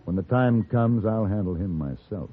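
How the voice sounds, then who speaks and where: An American man playing an urbane, unflappable gentleman gunfighter in a radio western, speaking with cool, precise, wry formality. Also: on the low side, lively intonation, normal speed, natural voice. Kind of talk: old-time radio western acting. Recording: tinny, noisy old radio broadcast.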